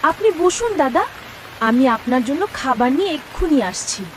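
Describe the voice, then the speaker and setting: High-pitched fretful village housewife. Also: female voice, normal speed, rough recording. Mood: caring. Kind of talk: animated cartoon story acting. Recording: steady rain noise behind voice.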